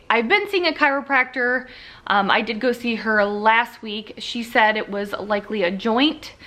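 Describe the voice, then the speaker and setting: A young woman talks through a casual vlog update in a bright, slightly high voice. She sounds a little congested and sniffly, and she rambles along with lots of fillers and easy asides. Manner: chatty, rambling, with frequent fillers and laughs. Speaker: vlogger.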